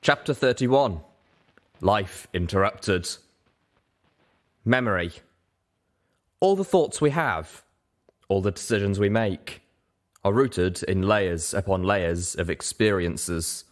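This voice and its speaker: A man narrating scripted fiction in a measured, dramatic storytelling manner. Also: low-pitched. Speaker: audiobook narrator.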